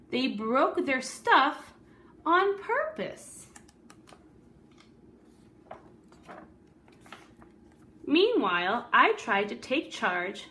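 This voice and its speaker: A woman voicing an earnest, weary good egg who tells his own story in a deliberate, dramatic storybook delivery. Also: fairly high pitch, bright, forceful tone, heavily acted. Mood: exasperated, earnest.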